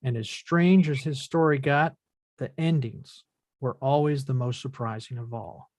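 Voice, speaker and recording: A man's voice: medium pitch, unhurried, darkish tone, no echo, clean studio sound, close to an everyday voice. A man playing a reflective son in his late twenties in a film script table read.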